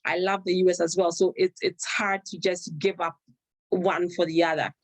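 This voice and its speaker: A woman's voice: lowish voice, level tone, moderate pace, dry recording. A woman from Ghana with a Ghanaian accent, an immigration attorney talking on a video call, thoughtful and often restarting her sentences. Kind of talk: video call panel discussion.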